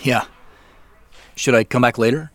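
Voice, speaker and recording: A man's voice: mid-range voice, unhurried, a bit bright, close to an everyday voice. An actor playing a wry, guarded man in an audio drama, talking in a dry, laid-back, natural way. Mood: polite, casual. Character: wry, guarded man who suspects he is being spied on.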